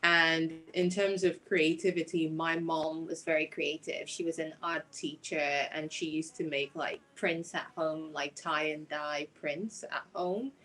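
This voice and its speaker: Seeking a woman with a Nigerian accent and a slightly low voice to play an interviewed singer-songwriter sharing her story. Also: fairly even intonation, unhurried, few pauses, fairly bright tone, rough recording.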